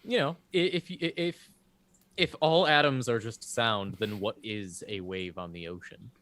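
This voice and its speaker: A man's voice: fairly low pitch, moderate pace, a bit bright, no echo. A man chatting casually on a group video call, joking in a dry, matter-of-fact way. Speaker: improv show cast member. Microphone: remote video call on Zoom.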